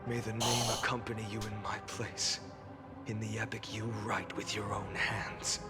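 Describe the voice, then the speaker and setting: An actor playing a solemn, resolute young hero in a video game, speaking gravely and deliberately. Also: male voice, lowish voice, flat delivery, lightly acted. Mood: grave, determined. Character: solemn, resolute young male hero facing his final destiny. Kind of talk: dramatic video game voice acting. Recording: game audio under loud music.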